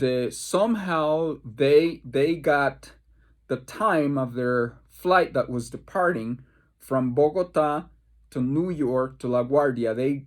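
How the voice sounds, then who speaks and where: A man from the Caribbean, a pastor leading a devotional Bible study, tells personal stories emphatically, repeating phrases and pausing for effect. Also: mid-range voice.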